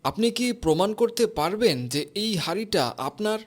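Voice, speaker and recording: A man's voice: sits high, animated, normal speed. A man playing a fair-minded, authoritative village imam in a moral cartoon, speaking formally. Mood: firm. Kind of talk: Bengali moral cartoon dialogue. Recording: music behind the voice.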